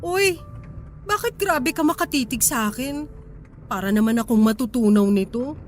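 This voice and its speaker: A woman's voice: fairly high pitch, moderate pace, natural voice. An actress playing a betrayed wife and mother in a Filipino radio drama, plaintive, exasperated and feisty. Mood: wry, wounded. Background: background music.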